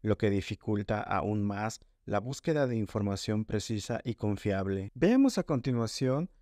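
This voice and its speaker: A man reading a formal, scripted voice-over narration in a measured way. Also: lowish voice, expressive, warm tone, dry recording, clean studio sound. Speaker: segment narrator.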